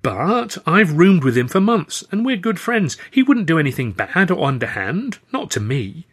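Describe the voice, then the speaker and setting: Man voicing an eager, higher-pitched young comedian. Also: sing-song, moderate pace, a bit bright, breathy edge, heavily acted. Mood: trusting, protesting. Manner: earnest, exclamatory. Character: young male comedian, sunny, trusting, eager.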